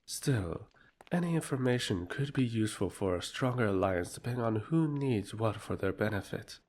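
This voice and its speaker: A man playing a scheming, authoritative royal emissary in a fantasy audio drama, speaking in a formal, measured way. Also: on the low side, expressive, darkish tone, a little hiss or hum, natural voice. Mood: calculating, commanding.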